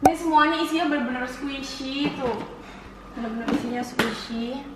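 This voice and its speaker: High-pitched young woman in echoey rooms. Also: fairly even intonation, moderate pace. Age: young adult. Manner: informal, playful, moving around as she talks. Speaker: vlogger giving a house tour. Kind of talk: casual house-tour vlog chat. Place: indoors, rooms of a house. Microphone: handheld camera mic at some distance. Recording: echoey rooms, distant camera mic, noisy.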